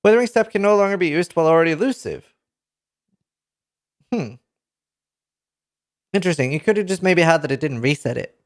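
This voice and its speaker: A man in his thirties with a Norwegian accent reads patch notes aloud to a live chat, reacting with excited outbursts and casual swearing, into a headset mic. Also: a little high, a little fast, long pauses. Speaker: streamer. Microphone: headset mic at a desk.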